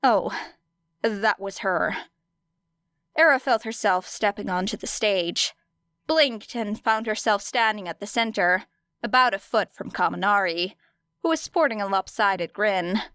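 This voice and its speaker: Female audiobook narrator: dramatic, expressive, measured pace, medium pitch, dry close sound. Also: clean tone, no room sound. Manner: deliberate, expressive phrasing with weighty pauses. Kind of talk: dramatic scripted fiction narration.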